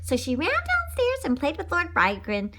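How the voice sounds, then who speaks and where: A woman's voice: extremely high pitch, huge pitch swings, moderate pace, sharp tone. A woman reading a children's story aloud dramatically, throwing in spoken sound effects. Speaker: storybook reader.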